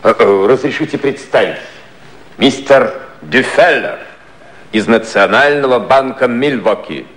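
A man acting a smoothly insistent American ambassador, formal and pointed, in a 1950s satirical radio play. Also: mid-range voice, animated, measured pace, a bit bright. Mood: courteous.

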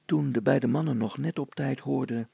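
A man's voice: medium pitch, normal speed, vocal fry, dark tone, slightly rough, no echo. A man narrating a scripted story as a storyteller.

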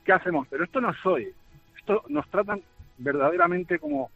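A man's voice: high-pitched, wildly swooping pitch, fairly quick, creaky, no room sound. A nervous man with an accent from Spain calling a radio show as a truck driver, emphatic and plaintive, repeating himself. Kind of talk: phone-in testimony. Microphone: phone call. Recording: dull radio phone line, faint music.

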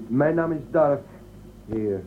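Casting: male actor as courteous, simple young space traveller, animated delivery, narrow-band audio with clear noise. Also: a little high, takes it slowly. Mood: polite, straightforward. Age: 20s. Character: polite, simple young man raised aboard a spaceship. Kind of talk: Dutch radio drama acting. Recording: tinny phone-like band, loud background noise.

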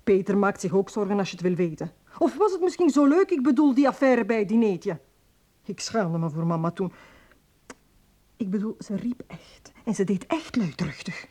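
A woman's voice: medium pitch, expressive, moderate pace, warm tone, natural voice. A woman acting in a Flemish radio drama as a worried, insistent young bride talking to her father. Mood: worried, pleading. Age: young adult.

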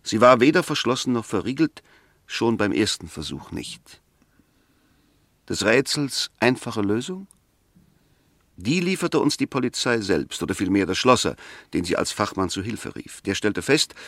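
An actor playing an insistent, reasoning defense barrister in a 1960s German radio play, arguing rhetorically and emphatically. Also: male voice, on the low side, expressive, measured pace, a bit bright, no room sound, clean studio sound, full character voice. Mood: emphatic, insistent.

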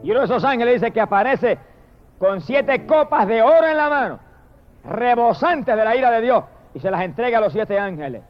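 A man's voice: extremely high pitch, animated, a little fast, lo-fi sound, soft background music. A man preaching an impassioned sermon, breaking often into cries of praise. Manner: impassioned, repetitive exclamations of praise. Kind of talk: fervent sermon.

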